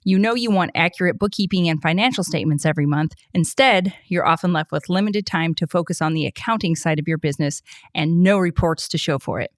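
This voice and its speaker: A woman's voice: fairly low pitch, fairly quick, no room sound, clean studio sound. A woman reading a scripted podcast sponsor message. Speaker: sponsor ad reader. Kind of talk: scripted ad read.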